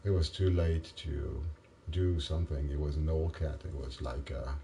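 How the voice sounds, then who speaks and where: A man, tired after a late night, rambling haltingly to the camera in a self-mocking way. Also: steady tone, vocal fry, dark tone, slight background noise. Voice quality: deep voice. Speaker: vlogger. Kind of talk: casual rambling monologue.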